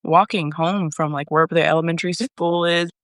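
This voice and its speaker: A woman's voice: on the low side, fairly even intonation, fast talker, no room sound, clean studio sound. A woman hosting a faith podcast, talking in a loose, chatty way with many fillers and restarts. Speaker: podcast host. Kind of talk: casual interview. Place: home abroad, on a remote call.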